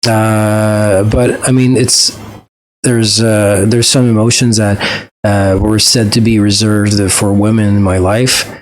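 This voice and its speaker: A man's voice: on the low side, flat delivery, slow pace, poor audio. A man with a French accent, a native French speaker, talking through a reaction video in a hesitant, reflective way with many fillers and restarts. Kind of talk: casual reflective monologue.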